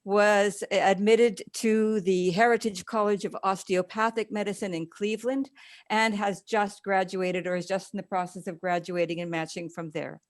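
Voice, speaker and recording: A woman's voice: medium pitch, steady tone, moderate pace. A woman, an alumni coordinator, formally introducing speakers with some hesitations in an online info session. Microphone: video call.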